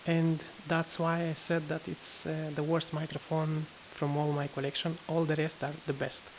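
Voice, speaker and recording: A woman testing microphones in a room, talking casually and hesitantly with many fillers, and reading haltingly from a book. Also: low-pitched, level tone, slight background noise, low-quality recording. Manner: reads aloud haltingly from a book. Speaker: gear reviewer testing microphones. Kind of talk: casual mic test vlog. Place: indoor room. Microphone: various test microphones, condenser among them.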